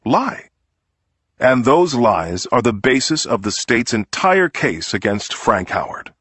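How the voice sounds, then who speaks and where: A man's voice: medium pitch, sing-song, takes it slowly, fairly bright tone, slightly rough, no room sound, heavily acted. A man voicing an indignant, persuasive defense lawyer delivering an oratorical closing argument to a jury in an audiobook. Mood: emphatic, persuasive. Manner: oratorical, emphatic, scornful.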